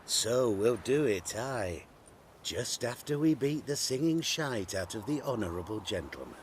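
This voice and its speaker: A menacing, mockingly polite guard in a video game. He drawls slowly in rough common speech with a lively melody. Faint noise lies behind. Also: medium pitch. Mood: mocking menace. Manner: slow, rough colloquial speech.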